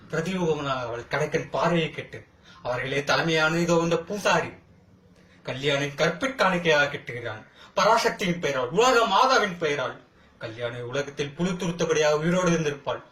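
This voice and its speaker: A man's voice: fairly high pitch, fairly bright tone, close to an everyday voice. A man acting a wronged, defiant brother who pleads his case in a declamatory, rhetorical Tamil film courtroom monologue. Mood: grieving, bitter. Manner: declamatory, rhetorical, impassioned, with dramatic pauses. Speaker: amateur actor practising. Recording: echoey room.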